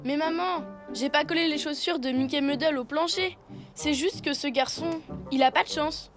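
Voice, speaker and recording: A woman's voice: sits high, normal speed, close to an everyday voice. A woman voicing a mischievous young elephant schoolboy in a French cartoon, plaintive and self-justifying. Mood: protesting. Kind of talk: French cartoon dub acting. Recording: music behind the voice.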